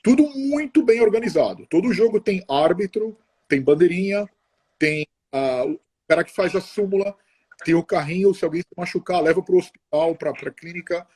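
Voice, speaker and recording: Middle-aged guy, slightly high warm voice, rambles and restarts a lot, video call. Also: slightly rough. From Brazil. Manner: chatty, halting, many restarts and tag questions. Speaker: interview guest. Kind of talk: casual conversational interview.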